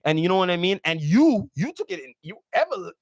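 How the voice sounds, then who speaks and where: A man with a high voice hosts a casual podcast chat. He talks in excitable street slang and likes to repeat his words. The sound is close and dry. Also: fairly quick, creaky, no room sound. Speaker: podcast host.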